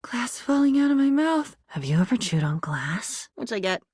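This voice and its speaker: A woman's voice: a little high, sing-song, moderate pace, darkish tone, slightly rough, no echo, clean studio sound. A woman voicing an anxious, lonely living diary in a video game, rambling and disjointed, lurching between moods and volumes in a theatrical way. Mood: airy, unsettled.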